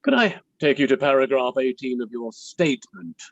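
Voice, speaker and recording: A man playing a polite, methodical inquiry counsel, asking formal, halting questions in a play table read. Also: medium pitch, lively intonation, no room sound, muffled recording. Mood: courteous, methodical.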